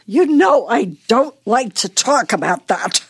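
An actress playing a forgetful elderly wife in a radio play, teasing and fretful with a deliberate, wistful manner. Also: female voice, a little high, big pitch swings, slightly rough, full character voice. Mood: chiding, muddled.

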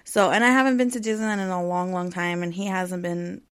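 A woman's voice: fairly low pitch, fairly even intonation, a little fast, clear, resonant tone. A woman in her thirties hosting her own podcast, chatting casually and rambling, with lots of "um" and "like.". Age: 30s. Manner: rambling, heavy with "um" and "like". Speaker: podcast host. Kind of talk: casual solo podcast chat.

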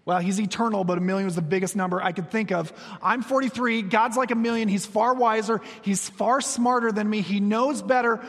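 A man in his forties preaching a sermon, telling stories with humor and moving between soft, slow passages and quick, lively ones. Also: sits high. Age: 40s. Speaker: pastor. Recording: long reverb of a large hall.

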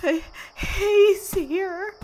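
A woman's voice: very high voice, drawn-out words, noisy background, heavily acted. A woman playing a frightened horror heroine fleeing a killer in an audio play. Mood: terrified.

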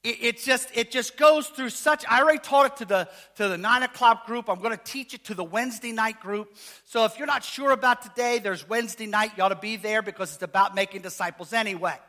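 A man preaching a sermon as pastor, casual and joking, asking the congregation questions, rising to shouts. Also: a little fast. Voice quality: high voice.

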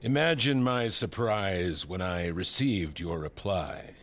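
Slow, low, smug disgraced politician played by a man. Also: fairly bright tone, soft background music. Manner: deliberate, condescending, insinuating. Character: smug, manipulative disgraced male senator in prison. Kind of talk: scripted audio drama.

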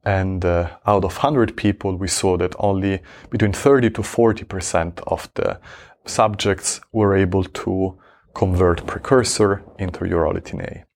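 A man's voice: low voice, a little slow. A man from Switzerland, a researcher answering interview questions, talking hesitantly with many fillers and restarts. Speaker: researcher guest.

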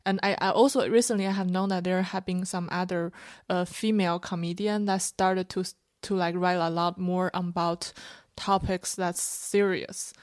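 A woman's voice: fairly low pitch, level tone, moderate pace, a little mellow, no echo. Woman, native Chinese speaker, comedian guest, frank, filler-heavy, dry close sound. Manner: frank, loose, many filler "like"s. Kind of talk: casual interview talk. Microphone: podcast microphone.

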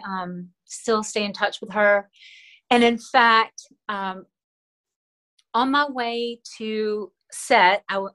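A woman's voice: mid-range voice, low-quality recording. Earnest Filipino woman, middle-aged. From Philippines. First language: Tagalog. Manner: earnest, with frequent fillers and soft laughs. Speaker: actress, interview guest. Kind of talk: casual interview talk.

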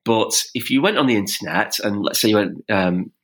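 A man being interviewed on a podcast, talking casually in a halting, hesitant way. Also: lowish voice. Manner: hesitant, halting, many restarts. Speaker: podcast guest.

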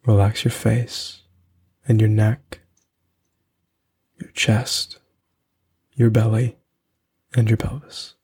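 Low, gravelly man guiding meditation. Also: little pitch movement, a little mellow. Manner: soothing, unhurried instruction with long pauses. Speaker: podcast host and meditation guide. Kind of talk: guided meditation.

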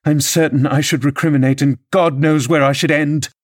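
A man's voice: on the high side, steady tone, normal speed, voice pushed up, lightly acted. A man voicing an impassioned, scornful young married woman with an imperious, theatrical manner in an audiobook novel. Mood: agitated, self-pitying. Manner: theatrical, emphatic, exclamatory.

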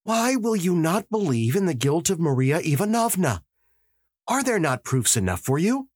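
A man voicing an excitable young detective. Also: slightly rough, dry recording, studio quality. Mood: insistent. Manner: raised high, rapid pleading delivery. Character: young male detective, eager, excitable, insistent.

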